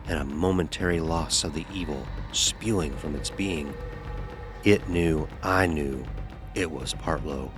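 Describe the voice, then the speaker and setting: A man narrating a first-person horror story in a tense, suspenseful, dramatic style. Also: low-pitched. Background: music.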